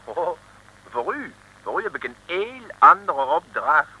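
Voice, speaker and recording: An actor playing a courteous, excitable French police inspector with a French accent, formal and emphatic, in an old radio serial. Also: male voice, on the high side, unhurried, husky, heavily acted, poor audio. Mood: earnest, confiding. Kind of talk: 1950s-60s radio serial acting.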